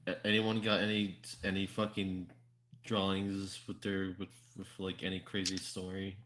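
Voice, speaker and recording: A man hosting a casual group podcast, talking in a halting, repetitive way with casual swearing. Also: sits low, completely flat delivery, fairly bright tone, a little hiss or hum, rough recording.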